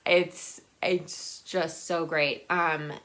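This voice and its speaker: A woman's voice: deep voice, little pitch movement, drawn-out words, fairly bright tone. A woman chatting casually and enthusiastically to viewers in a rambling, conversational book vlog. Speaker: book vlogger.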